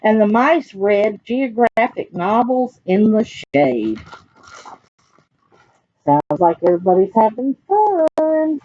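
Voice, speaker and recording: A woman with a Southern US accent and a husky voice reads aloud to young children as a librarian, expressive and folksy, speaking to them directly. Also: fairly high pitch, takes it slowly, dull audio. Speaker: children's librarian reading picture books aloud.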